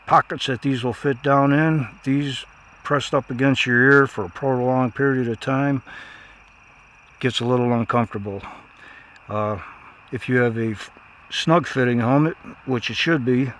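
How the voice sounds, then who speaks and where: A man's voice: mid-range voice, slight background noise. A man giving a casual, unscripted product review in a plainspoken, folksy way.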